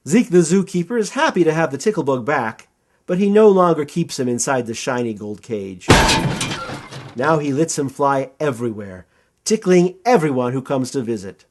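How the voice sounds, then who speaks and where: A man reading a children's storybook aloud as storyteller, with expressive, dramatic emphasis. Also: mid-range voice, normal speed.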